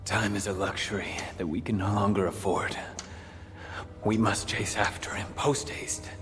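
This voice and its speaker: A grave, mysterious poet of a man played by an actor in a game cutscene. His lowish voice is formal and measured, trailing long reverb. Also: fairly even intonation, fairly bright tone, close to an everyday voice. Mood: solemn. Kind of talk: dramatic video game cutscene acting.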